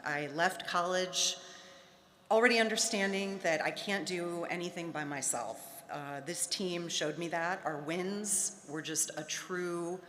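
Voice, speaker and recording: A woman's voice: on the low side, unhurried, fairly bright tone, a little hiss or hum. A woman in her fifties giving a heartfelt induction speech at a podium, with light humorous asides. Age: 50s. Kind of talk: prepared ceremony speech. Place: large hall at an awards ceremony. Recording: echoey hall with faint ambience.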